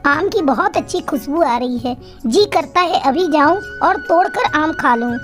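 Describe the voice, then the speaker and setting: A woman's voice: high voice, expressive, moderate pace, faint music behind. A woman playing an eager, cheeky young daughter-in-law sparrow in a children's cartoon, respectful and coaxing. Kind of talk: Hindi children's cartoon acting.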